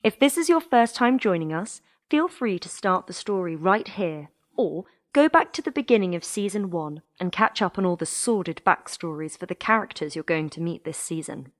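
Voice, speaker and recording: A woman's voice: lowish voice, lively intonation, moderate pace, hardly any pauses. A woman reading a scripted introduction as the announcer of an audio drama podcast.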